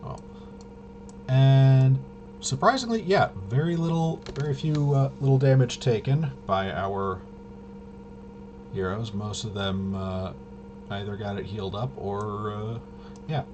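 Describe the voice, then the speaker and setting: A man hosting a livestream with casual, unscripted commentary, talking in a halting, rambling way and often trailing off mid-sentence. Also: mid-range voice, lo-fi sound. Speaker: livestream host. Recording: noisy, with clear background hum.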